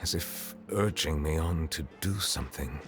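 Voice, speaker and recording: A man voicing a composed, formal chief justice in a video game, speaking in a measured, reserved way. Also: rumbling low, over music. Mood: reflective.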